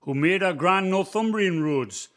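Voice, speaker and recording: A man's voice: sits high, expressive, measured pace, fairly bright tone. A man playing the ghost of an old road-mender poet with a Northumbrian accent, folksy and reassuring, reciting verse in a radio play. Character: cheerful old roadman ghost, poetic, kindly. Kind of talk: community radio play acting.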